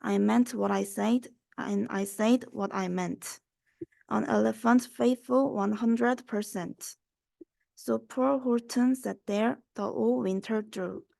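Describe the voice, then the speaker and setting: Young woman, highish voice, some vocal fry, slow children's story reading, dry sound. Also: fairly even intonation, warm tone, dry recording. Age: young adult. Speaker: student reader.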